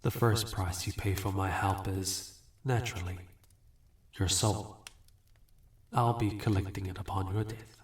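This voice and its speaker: A man playing a suave, amused demon in a fantasy audio roleplay, condescending and teasing with unhurried courtesy. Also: low voice, fairly even intonation. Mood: smug, businesslike. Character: suave, amused, bargaining male demon, ageless and smug. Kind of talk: scripted fantasy audio roleplay addressed to listener. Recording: roomy echo, distant from mic.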